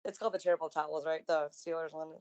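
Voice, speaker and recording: Woman co-host, kinda raspy, low voice, gushing about wrestling matches. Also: steady tone, racing, a little mellow, muffled recording. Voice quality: slightly raspy. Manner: enthusiastic, chatty. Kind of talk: casual wrestling recap chat.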